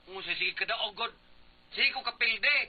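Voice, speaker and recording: An actor playing a rambling old father and failed candidate in a comic Cebuano radio drama, with a husky voice. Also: sits high, measured pace. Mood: rueful, teasing. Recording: dull lo-fi radio broadcast.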